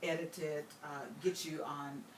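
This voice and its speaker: A woman's voice: low voice, flat delivery, measured pace. Older woman host, preacherly and encouraging. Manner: warm preacherly encouragement, call-and-response. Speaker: host and life coach. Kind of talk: inspirational interview talk. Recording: roomy, distant mic, faint noise.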